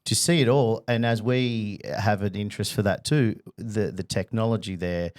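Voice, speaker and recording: A man's voice: lowish voice, fairly even intonation, moderate pace, a little mellow. A man hosting a podcast, chatting in a friendly, casual way and laughing easily. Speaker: podcast host. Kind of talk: casual interview chat.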